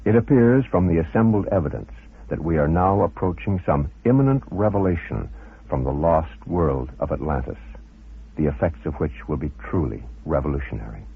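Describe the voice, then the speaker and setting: A man reading scripted narration in a formal, measured manner. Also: extremely low pitch, animated, gravelly, breathy edge. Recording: muffled phone-like old radio recording.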